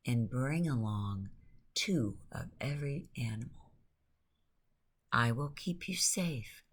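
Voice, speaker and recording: A woman narrating a guided bedtime story in a hushed, soothing way, with long pauses and a breathy, near-whispering voice. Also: a bass voice.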